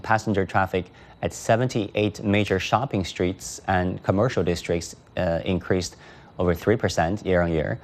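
A man hosting a news program who introduces guests briskly and puts questions to them with occasional fillers. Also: deep voice, darkish tone. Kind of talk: live news interview hosting.

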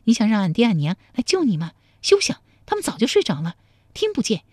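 A woman voicing a bossy, bold young country woman in a storybook, sharp-tongued and teasing. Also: high voice, big pitch swings, brisk, no echo, clean studio sound, pitched above the performer's own voice. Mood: fierce scolding. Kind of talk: dramatized storybook dialogue.

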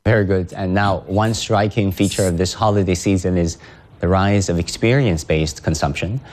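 A man hosting a panel interview, putting long questions to guests in a measured way with some fillers. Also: low-pitched, fairly even intonation, darkish tone. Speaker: TV news interview host.

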